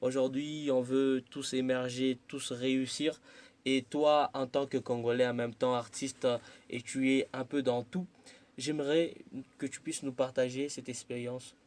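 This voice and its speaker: A man's voice: medium pitch, little pitch movement, measured pace, darkish tone. A man hosting a radio interview who asks his questions in formal announcer phrasing. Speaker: radio host.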